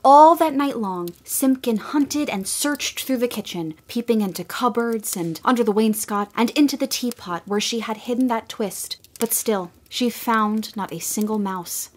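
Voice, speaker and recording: A woman narrating a storybook audio drama in a gentle, measured way. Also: fairly high pitch.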